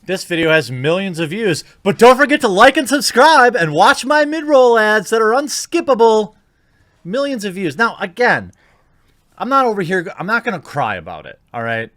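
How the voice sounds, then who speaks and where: A man's voice: sits high, sing-song, moderate pace, a bit bright, no echo. Indignant man with a dry, close sound. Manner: indignant, informal, sarcastic. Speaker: YouTube commentator. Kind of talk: opinionated video commentary.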